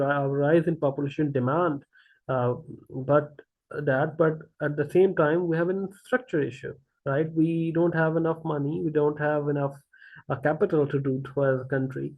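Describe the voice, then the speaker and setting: A man joins an online panel over a tinny, phone-like connection. His voice is slightly high. He speaks haltingly, with frequent fillers. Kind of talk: casual panel discussion.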